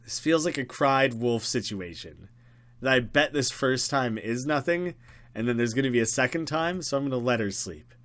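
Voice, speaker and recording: A man's voice: mid-range voice, expressive, moderate pace, a bit bright, no room sound. A man doing a let's play, reading a visual novel aloud and dropping wry, joking asides. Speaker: gaming YouTuber.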